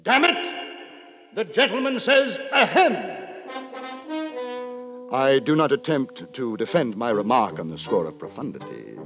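An actor playing a prim, moralising gentleman who narrates in wordy, formal, mock-solemn 1950s radio drama style. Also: medium pitch, sing-song, unhurried, long pauses. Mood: puzzled, emphatic. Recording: 1950s radio, music behind.

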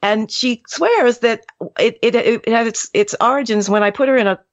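A woman's voice: on the high side, normal speed, no room sound. A woman hosts an interview and draws out her guest with attentive, encouraging questions. The recording is dry, with no room sound, and slightly dull and lo-fi. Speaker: host. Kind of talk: conversational interview.

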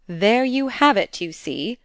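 A woman reading aloud and voicing a devoted old governess with a sharp, pointed, ironic manner. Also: on the low side, fairly even intonation, moderate pace, clean tone, no echo, studio quality, lightly acted. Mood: pointed, defiant.

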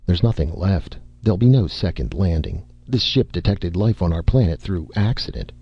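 A man's voice: low-pitched, expressive, normal speed, husky, dry recording, highs cut off, exaggerated voice. A man reading an audiobook, voicing a bitter, miserable middle-aged astronomer. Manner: bitter, muttering.

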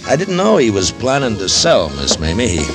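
An actor playing a calm, steady frontier marshal, speaking in a slow, measured way in a 1950s radio drama. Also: male voice. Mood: polite. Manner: slow, measured, low-key. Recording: old radio broadcast with loud music.

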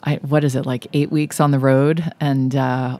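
A woman's voice: a bass voice, level tone, normal speed, warm tone. A woman hosting a radio cooking show, chatting casually with a guest while losing her voice. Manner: chatty, friendly interviewer with fillers and restarts.

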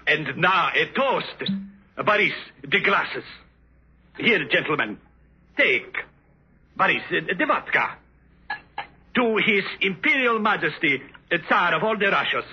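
An actor playing a pompous, effusive Russian imperial official in a 1950s radio drama, speaking in ceremonious, halting proclamations. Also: male voice, high voice, expressive. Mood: grandly ceremonious. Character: pompous, effusive Tsarist Russian official hosting endless toasts. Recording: muffled, faintly noisy 1950s radio recording.

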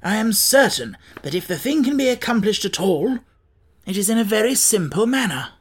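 A woman's voice: medium pitch, sing-song, moderate pace, slightly rough, pitched above the performer's own voice. A woman voicing a blunt, practical straw scarecrow man from a storybook, talking in a wordy, wry, emphatic way. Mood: wry, critical.

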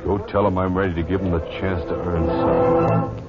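An actor playing a callous, scheming saloon owner, sneering and domineering, in a 1950s western radio drama. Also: male voice, deep voice, level tone, moderate pace, over loud music, natural voice. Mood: commanding. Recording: dull, noisy old radio recording.

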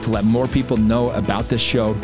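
Podcast host wrapping up, asks listeners for a review, guitar music clearly playing behind. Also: male voice, medium pitch, fairly even intonation, fairly quick. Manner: friendly direct appeal to listeners. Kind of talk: podcast outro. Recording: music bed underneath.

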